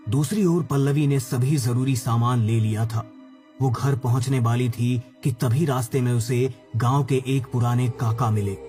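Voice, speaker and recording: A man's voice: mid-range voice, dark tone. A man narrating a scripted horror story as its storyteller. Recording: background music.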